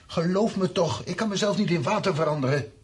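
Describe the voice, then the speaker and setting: An actor playing an impatient, stubborn husband in a Dutch radio play, speaking in a curt, excitable manner. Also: male voice, high voice, a little fast, husky. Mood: curt, irritated. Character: impatient, stubborn husband foraging for food and drink.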